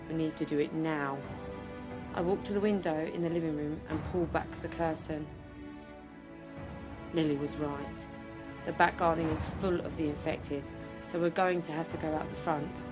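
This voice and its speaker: An actress playing a tough, urgent woman survivor in an audio drama, speaking tersely and commandingly, often hushed. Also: lowish voice, natural voice. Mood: tense, resolute. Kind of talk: audio drama acting with first-person narration.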